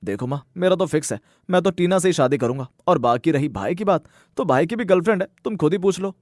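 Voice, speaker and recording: An earnest young son from a cartoon moral story, played by a man, with a fairly high male voice in a dry recording. He talks directly and familiarly, protective of his family. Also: animated, quick pace, a little mellow, no room sound, natural voice. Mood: cheerful, frank. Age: young adult. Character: earnest young working sons, protective of their mother. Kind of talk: scripted cartoon story dialogue.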